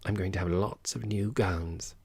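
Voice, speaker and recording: A man's voice: low voice, moderate pace, vocal fry, a little mellow, slightly rough, dry recording, studio quality, heavily acted. A man voicing an exuberant, playful woman opera singer who talks in teasing exclamations, in an audiobook. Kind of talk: audiobook character voice.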